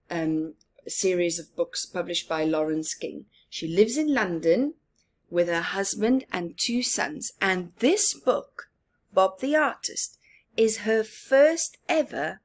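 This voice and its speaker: Expressive, husky woman storyteller. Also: medium pitch. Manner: expressive, emphatic. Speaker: storyteller for children. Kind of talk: children's read-aloud with commentary. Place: small living room, indoors.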